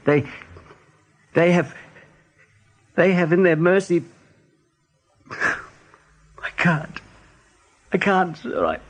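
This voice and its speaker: A broken rabbit prisoner in a radio drama. He speaks very slowly and huskily, halting and stammering like a frightened, submissive captive reciting. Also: sits high, husky, exaggerated voice.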